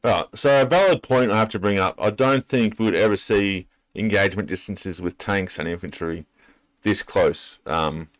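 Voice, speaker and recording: A man's voice: lowish voice, moderate pace, no room sound. A man giving casual, unscripted commentary as a reaction video host, thinking aloud with some hesitation.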